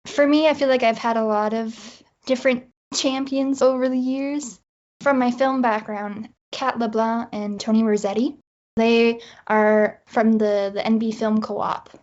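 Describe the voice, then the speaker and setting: A woman's voice: on the high side, fairly even intonation, measured pace, darkish tone. A woman with a Canadian accent chatting casually as a guest writer in an interview. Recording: dull lo-fi audio.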